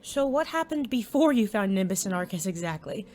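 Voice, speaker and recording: A woman's voice: mid-range voice, animated, brisk, darkish tone, natural voice. Woman playing a cautious, dry-voiced teenage friend. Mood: concerned, dry. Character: cautious, worried teenage best friend, laid-back student. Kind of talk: casual conversational audio drama acting.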